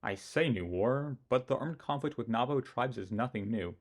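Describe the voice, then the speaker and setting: A man narrates history from a script at a middle pitch, mixing the events with blunt opinions of his own. Now and then he breaks off to poke fun at his own pronunciation. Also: animated, normal speed. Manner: opinionated, with self-mocking asides.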